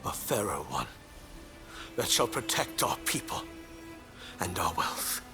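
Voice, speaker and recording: Stern lord, swooping delivery. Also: male voice, lowish voice, big pitch swings. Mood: solemn, resolute. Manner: formal, commanding, deliberate. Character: proud, stern lord of duty and political ambition. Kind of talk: dramatic video game cutscene acting. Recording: music behind the voice.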